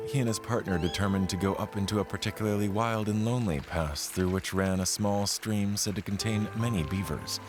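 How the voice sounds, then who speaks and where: A man telling a scripted frontier tale as a dramatic narrator, in a measured, formal, literary way. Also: low-pitched. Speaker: storyteller narrating an audio play. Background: music under the voice.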